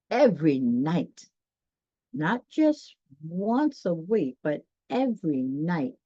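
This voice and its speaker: Slow, lively woman reading a children's story. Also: on the low side, no room sound, highs cut off. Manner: folksy, chatty, musing aloud to listeners. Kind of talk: expressive read-aloud with casual asides.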